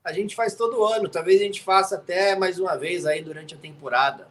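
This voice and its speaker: A man's voice: sits high, a little fast, a crowd in the background. Chatty, teasing man on a video call. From United States. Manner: chatty and teasing, tags lines with "né?". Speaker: NFL commentator, interview guest. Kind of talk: casual chat. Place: home studio.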